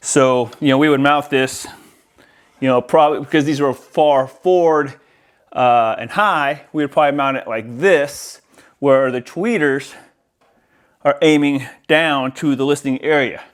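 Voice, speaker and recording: A man's voice: mid-range voice, measured pace. An American man giving a casual, explanatory product unboxing and demonstration in an informal, somewhat hesitant way. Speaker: audio-video installer presenting a product. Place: indoors in a house, near a window.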